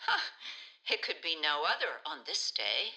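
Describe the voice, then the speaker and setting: A woman's voice: deep voice, big pitch swings, a little slow, pushed and bright, breathy edge, full character voice, poor audio. A woman playing a wry, teasing social services worker in a community radio play. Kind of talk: community radio drama acting.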